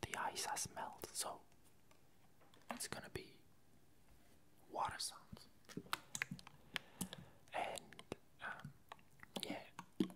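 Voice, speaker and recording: Slow, whispered ASMR rambling. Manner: hesitant, unhurried, meandering. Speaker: ASMR channel host.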